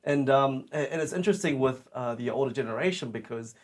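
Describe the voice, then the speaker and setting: A young man with a New Zealand accent, co-hosting a casual podcast, thinking out loud and turning questions to the group. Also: mid-range voice, brisk. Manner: reflective, asks the group questions. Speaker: podcast co-host.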